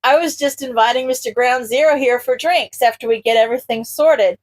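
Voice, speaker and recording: A woman's voice: fairly high pitch, fairly quick, lightly acted. A woman voicing an amused, teasing man who leads a team in a story.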